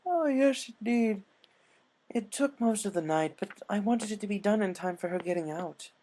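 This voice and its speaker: Man putting on a high-pitched, refined lady pony. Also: lively intonation, moderate pace, mellow, slight background noise. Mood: weary, pleased. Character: refined, doting female pony, a caring fashionable friend.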